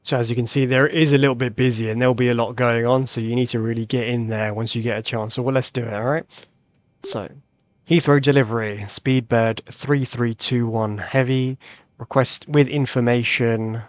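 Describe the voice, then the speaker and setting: A man's voice: mid-range voice, steady tone, normal speed, no echo. A man hosting a flight simulator video, thinking aloud in casual tutorial commentary and reading back radio calls. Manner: thinks aloud while demonstrating.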